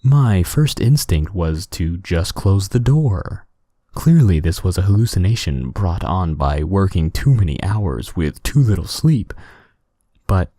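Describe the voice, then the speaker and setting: Soft, mellow man reading horror stories. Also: low-pitched, slightly rough, dry recording, studio quality. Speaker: narrator. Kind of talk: scripted horror story narration, relaxing ASMR-like bedtime reading.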